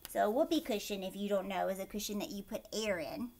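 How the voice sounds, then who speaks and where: A woman teacher reading a picture book aloud to children, expressively, pausing to explain and ask them questions. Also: mid-range voice. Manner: expressive, asks young listeners questions.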